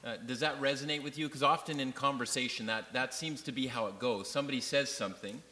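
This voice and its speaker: A man teaches a church class in a loud, forceful voice, talking loosely and often pausing on fillers. He keeps turning to the room with questions. The sound rings around an echoey hall. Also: medium pitch. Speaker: class leader.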